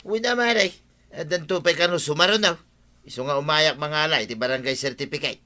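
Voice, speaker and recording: Radio comedy sidekick: brash Ilocano villager, teasing, very bright cutting tone. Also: male voice, on the high side, fairly quick. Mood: cheeky. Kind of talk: broadcast comedy radio drama acting.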